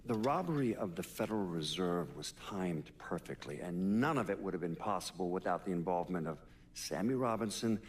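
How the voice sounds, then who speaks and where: An actor playing a sober middle-aged American investigator, a man recounting a case in a measured documentary-interview manner. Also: low voice, animated, a little hiss or hum. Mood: matter-of-fact. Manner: measured, matter-of-fact.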